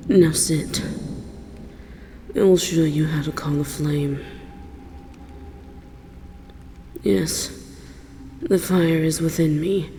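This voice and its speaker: A woman voicing an aloof, wounded, world-weary dragon in a measured, solemn, formal roleplay monologue. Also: sits low, warm tone, a little hiss or hum. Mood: calm, instructive. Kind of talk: slow dramatic roleplay audio monologue.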